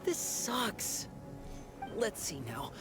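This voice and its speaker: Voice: mid-range voice, very animated, very slow, music in the background. An actor playing an eager young archery trainee in a video game, with an earnest, hesitant delivery. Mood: discouraged. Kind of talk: video game character acting.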